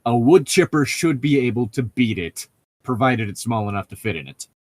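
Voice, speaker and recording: A man with an average-pitched voice chats casually as a podcast co-host. He rambles and digresses, tossing in profanity as he comments on what he reads. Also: expressive, normal speed, darkish tone, no echo. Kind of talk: casual chat and commentary while reading a novel aloud.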